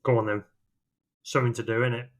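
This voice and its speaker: Male British co-host, mid-pitched voice, relaxed conversational style, sardonic and happy to swear. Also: fast. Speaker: podcast co-host. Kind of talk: casual chat.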